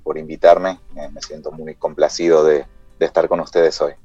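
Male interview guest, deep and halting, explanatory, on a dull dry connection with faint music. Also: flat delivery, warm tone, slightly rough, no room sound. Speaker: interview guest, company CEO.

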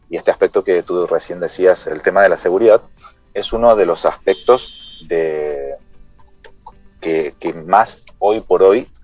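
Male interview guest, deep and halting, explanatory, on a dull dry connection with faint music. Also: a little mellow, dry recording. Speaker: interview guest, company CEO.

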